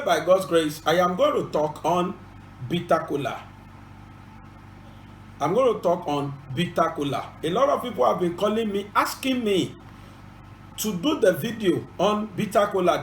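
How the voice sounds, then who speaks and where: High-voiced Yoruba man, echoey room. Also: expressive, normal speed, a little hiss or hum. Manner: earnest, repetitive, formal instructions. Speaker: channel host and presenter. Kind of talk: informative bilingual presentation.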